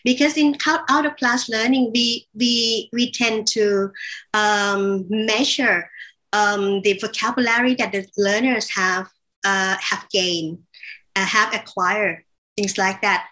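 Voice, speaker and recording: A woman from Thailand speaking as an associate professor on a webinar panel, halting and correcting herself often as she explains. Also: mid-range voice, fairly even intonation, sharp tone, poor audio. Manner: halting, with frequent fillers and self-corrections. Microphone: video call.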